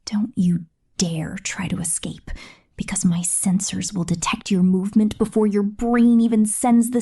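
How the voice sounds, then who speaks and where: A woman performing whispered ASMR roleplay as a possessive, menacing female killer robot, with a breathy, theatrical delivery. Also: mid-range voice, dark tone.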